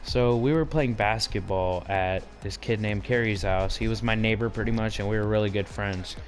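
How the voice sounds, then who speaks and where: A man's voice: fairly low pitch, normal speed, faint music behind. A young man telling stories in a casual, brash, profanity-laced and teasing chat.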